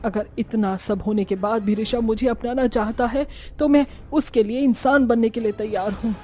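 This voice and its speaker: Woman acting a high-voiced young witch bride. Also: steady tone, moderate pace, darkish tone, close to an everyday voice. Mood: devoted, pleading. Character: young witch bride, lovestruck, bossy, doting daughter. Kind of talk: Hindi horror-comedy cartoon dubbing.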